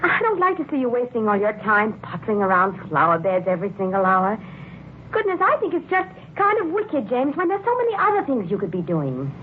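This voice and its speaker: A jealous, fretful wife in a forties radio play. Her high voice swoops emotionally as she pleads. The old broadcast recording is narrow and muffled, with some hiss. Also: wildly swooping pitch, fairly quick. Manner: plaintive, emotional. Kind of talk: 1940s radio drama acting.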